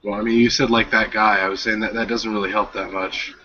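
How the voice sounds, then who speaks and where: A man hosting a casual discussion on a video call, measured and clarifying, with fillers. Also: on the low side, flat delivery, sharp tone. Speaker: host. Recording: dull online call audio.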